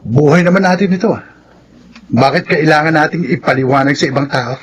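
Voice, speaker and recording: A man's voice: fairly high pitch, fairly quick, natural voice. A young man with a Filipino accent playing a rebellious, stubborn son in a radio drama, defiant and brash. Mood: casual, pleading. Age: young adult. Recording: noisy radio drama track.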